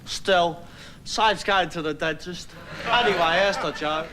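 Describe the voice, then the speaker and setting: A man playing a rough, cheeky punk telling a joke in a comedy sketch. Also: sits high, unhurried, background noise.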